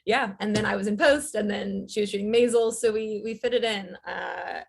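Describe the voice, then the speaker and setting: A woman's voice: medium pitch, moderate pace, vocal fry, husky. A woman who writes and directs, chatting casually as a guest in an interview, telling her story in a rambling way. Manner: rambling, storytelling, frequent filler words.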